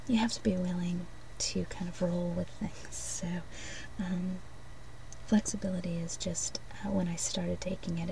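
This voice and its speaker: Soft breathy asmr lady, kinda low voice, gentle and slow phrases, bit far from the mic. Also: background noise. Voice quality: soft, breathy, often dropping to a whisper. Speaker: ASMR host playing a shopkeeper giving card readings. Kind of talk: ASMR role play, softly spoken.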